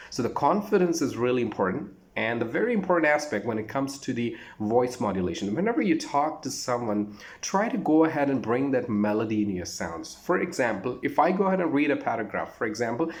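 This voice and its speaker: A man's voice: medium pitch, expressive, a little fast. A man with an Indian accent giving an informal spoken lesson as a voice trainer, instructive and repetitive, with emphatic phrasing.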